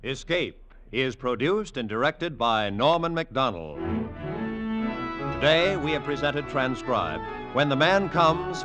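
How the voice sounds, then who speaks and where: A man announcing scripted credits in the style of 1950s radio. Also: mid-range voice. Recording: vintage radio with clear music behind.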